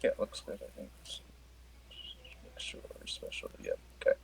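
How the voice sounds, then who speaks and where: A man casually refereeing a tabletop game as game master, halting and thinking aloud. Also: in a whisper, poor audio.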